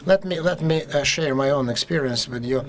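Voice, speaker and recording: A man with a Russian accent speaks at a conference panel in a fairly high voice. He talks haltingly, with many fillers and restarts, searching for his words in English. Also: fairly bright tone.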